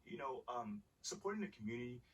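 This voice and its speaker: A man's voice: mid-range voice, sing-song, fast, far from the mic, lo-fi sound, a little hiss or hum, natural voice. A man playing a confident young American pro basketball player in a video game, talking in casual slang. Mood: earnest, candid. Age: young adult. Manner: slangy, conversational.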